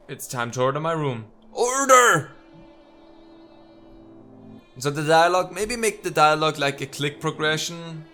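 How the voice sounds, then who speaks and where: A man's voice: a little high, animated, unhurried, long pauses, bright, forceful tone, soft background music. A man giving let's play commentary, reading game dialogue aloud and breaking in with nitpicking, exclamatory asides. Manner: nitpicking, exclamatory asides between read lines. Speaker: video game streamer.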